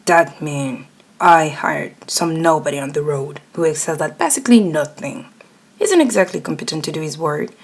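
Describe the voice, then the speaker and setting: Woman doing a harsh bossy male ceo for asmr roleplay, stern n condescending, husky, kinda low. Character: cold, domineering male CEO, harsh and scornful.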